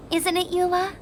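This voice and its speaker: A woman's voice: very high voice, slight background noise, heavily acted. A woman voicing an earnest, friendly young girl scout in a video game, chatty and encouraging. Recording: music behind the voice.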